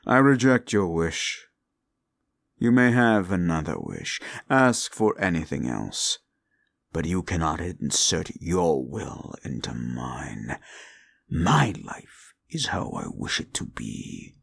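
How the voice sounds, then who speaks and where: A man voicing a cruel, unrepentant male demon in an audio roleplay, talking slowly with contempt and dropping into menacing whispers. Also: very animated, a bit bright, dry recording, exaggerated voice. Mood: scornful. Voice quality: gravelly, low, menacing.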